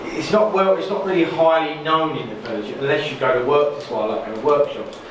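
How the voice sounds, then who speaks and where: A man's voice: fairly high pitch, quick pace. Earnest man speaking in a hall. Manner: hesitant, earnest. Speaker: workshop participant. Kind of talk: personal share. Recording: echoey hall recording from far off, noisy.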